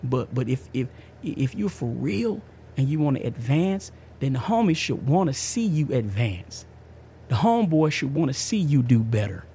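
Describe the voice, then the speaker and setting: Man, fifties, podcast host, gravelly voice, middle pitch, emphatic street slang, casual testimony. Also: sing-song, moderate pace, warm tone, slight background noise. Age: 50s. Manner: emphatic, slangy, repeats himself.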